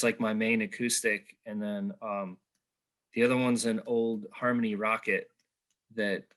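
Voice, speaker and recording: A man's voice: mid-range voice, pushed and bright. A man hosting an interview over a video call, talking in a rambling way with many fillers. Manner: talkative, rambling, filler-heavy. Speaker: interviewer, host. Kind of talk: conversational interview.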